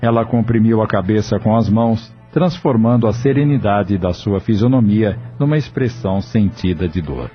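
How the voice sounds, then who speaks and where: A man narrating an audio drama in a measured, solemn way. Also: fairly low pitch. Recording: dull lo-fi audio with faint music.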